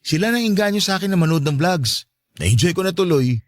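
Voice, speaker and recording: Boyfriend in his thirties, man acting, cheerful and devoted, animated higher-set voice, dry close sound, radio drama. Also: fairly quick, no echo. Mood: eager, playful. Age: 30s. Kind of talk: Tagalog audio drama dialogue.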